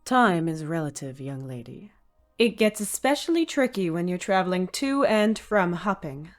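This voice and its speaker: A woman voicing a seasoned, brisk court wizard in an animated fan dub, knowing and dignified. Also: fairly low pitch, soft background music.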